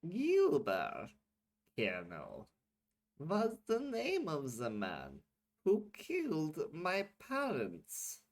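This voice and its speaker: A man doing a raspy old aloof woman. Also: huge pitch swings, exaggerated voice. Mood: cryptic aloof. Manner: very slow, drawn-out, high put-on voice. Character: frail elderly woman in a wheelchair, aloof, cryptic.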